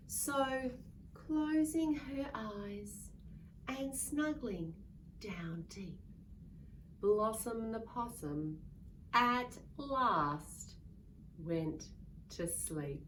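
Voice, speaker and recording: A woman reading a picture book aloud to young children in a playful, expressive way, drawing out words and acting out sounds. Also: medium pitch. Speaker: children's story reader. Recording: distant mic with faint background noise.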